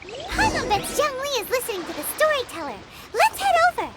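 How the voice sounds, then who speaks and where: An excitable, childlike little fairy companion from a video game. The voice is piercingly high and squeaky, swooping with chirpy indignation. Bright game music plays loudly behind it. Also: female voice, a little fast, full character voice. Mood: smug. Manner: chirpy, refers to self in third person. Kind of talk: video game character acting.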